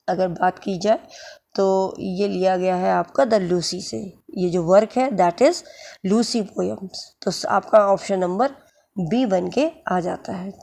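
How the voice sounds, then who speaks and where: A woman with a hoarse throat and an Indian English accent, teaching English literature for exams and explaining each word slowly. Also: medium pitch, warm tone. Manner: slow, explaining word by word, checks understanding. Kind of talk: exam-prep lecture in mixed Hindi and English.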